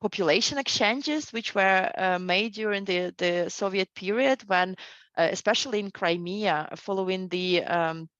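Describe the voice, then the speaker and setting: A woman's voice: mid-range voice, normal speed, a bit bright, dry recording, highs cut off. A middle-aged woman, a guest historian, answering interview questions with many fillers and restarts.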